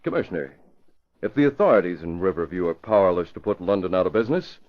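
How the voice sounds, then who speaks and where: A man playing an earnest, resolute young newspaper publisher, serious and measured, in an old-time radio drama. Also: low-pitched, big pitch swings. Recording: dull old radio recording.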